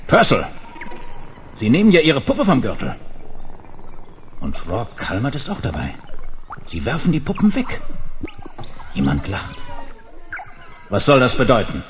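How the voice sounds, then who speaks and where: Ein Schauspieler spricht in einem Science-Fiction-Hörspiel einen entschlossenen Raumschiffkommandanten, dramatisch und mit Autorität. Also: male voice, mid-range voice, huge pitch swings, a little slow, pauses often, a little hiss or hum. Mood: astonished, wary. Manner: dramatic, authoritative, clipped statements. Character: resolute male space commander and imperial administrator. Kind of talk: 1970s German science fiction radio drama acting.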